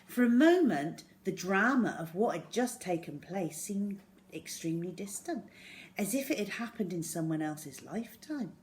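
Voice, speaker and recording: A woman reading a children's story aloud in an expressive way, acting out the words as she goes. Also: mid-range voice, moderate pace, slight background noise. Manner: expressive, acts out dialogue and sound words.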